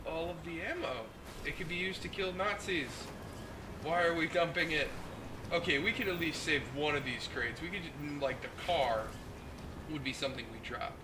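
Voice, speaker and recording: A man's voice: on the high side, moderate pace. Sick young man swearing through gameplay. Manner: profane, reacts out loud to the game. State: sick with the flu, hoarse. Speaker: gaming host. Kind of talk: casual gameplay commentary. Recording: noisy home mic recording.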